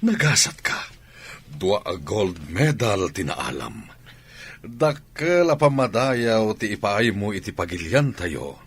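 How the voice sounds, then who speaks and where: A man's voice: fairly low pitch, sing-song, slow pace, breathy edge, full character voice. A man playing an encouraging coach in an Ilocano radio drama. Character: encouraging male coach of a medalist athlete.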